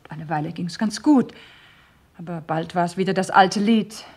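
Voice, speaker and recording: An actress playing an earnest, regretful divorcée in a German radio crime drama, measured but emotional, with hushed moments. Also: female voice, lowish voice, slightly rough. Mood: subdued, reflective. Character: earnest, regretful divorced woman questioned by police.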